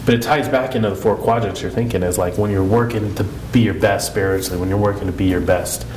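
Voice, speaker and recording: Male podcast co-host, low register, sniffly with a cold, casual and earnest, clear noise behind him. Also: fairly even intonation, fast. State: congested and sniffly from a head cold. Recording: echoey room with clear background noise.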